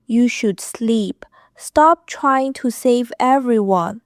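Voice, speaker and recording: A frightened but caring young woman, acted by a woman, in an audiobook drama. She is high-pitched and very slow, hushed and hesitant, recorded close and dry. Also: no echo. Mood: hushed concern.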